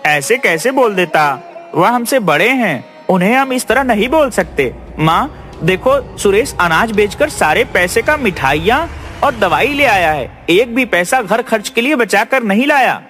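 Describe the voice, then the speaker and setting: A man's voice: high voice, lively intonation, a little slow, a bit bright. A man playing an honest, kind young village farmer in a cartoon, speaking earnestly and politely. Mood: concerned. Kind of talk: animated Hindi moral-story cartoon acting. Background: faint music.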